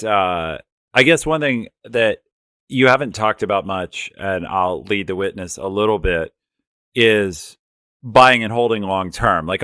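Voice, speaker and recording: A man with a lowish, clear and resonant voice co-hosts a livestream over a video call. He talks informally, steering the show and addressing viewers directly. The recording is close and dry. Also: fairly bright tone, no room sound. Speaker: livestream co-host. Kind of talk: casual livestream hosting.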